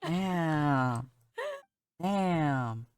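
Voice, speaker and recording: A woman's voice: low voice, animated, drawn-out words, darkish tone, clean tone, dry recording. A woman streamer giving casual reaction commentary over a video game.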